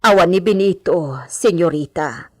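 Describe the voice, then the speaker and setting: A woman's voice: on the low side, a little slow. A woman playing an anxious, deferential maidservant in a radio drama, with an Ilocano accent and a hesitant, pleading way of talking. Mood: meek, hesitant.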